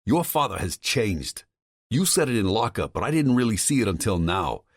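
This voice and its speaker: A man's voice: fairly low pitch, very animated, normal speed, no echo, clean studio sound, pitched above the performer's own voice. A man voicing an agitated brother who warns urgently over the phone. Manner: urgent, swearing, insistent.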